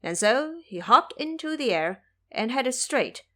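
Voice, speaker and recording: A woman narrating an audio drama as scripted storytelling, in an expressive manner. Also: high voice, a little slow.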